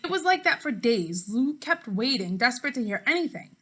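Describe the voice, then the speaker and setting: Bright, higher-voiced woman reading aloud. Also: very animated, brisk. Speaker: teacher reading a book aloud to fifth graders. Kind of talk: read-aloud storytelling for children.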